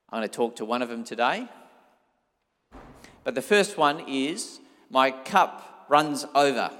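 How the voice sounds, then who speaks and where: A man with a strong, loud voice, preaching an informal, conversational sermon with an emphatic, urging manner. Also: medium pitch. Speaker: pastor. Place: church hall, amplified by sound system. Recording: echoey hall with long reverb.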